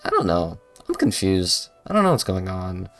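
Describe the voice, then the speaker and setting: A man's voice: low-pitched, wildly swooping pitch, moderate pace, fairly bright tone. A man doing casual livestream game commentary, rambling informally and a little hesitantly. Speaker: game streamer. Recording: dry close voice, faint game music.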